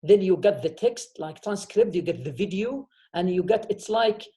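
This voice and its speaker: A man's voice: high-pitched, animated, normal speed, mellow. A man lecturing online, explaining step by step and checking in with "okay?" after nearly every phrase. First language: Arabic. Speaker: university professor. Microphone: video call.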